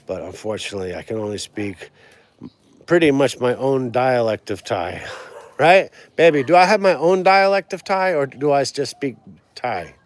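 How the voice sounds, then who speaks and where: A man's voice: medium pitch, normal speed. A man hosting a casual outdoor live stream, rambling, repeating words and laughing often.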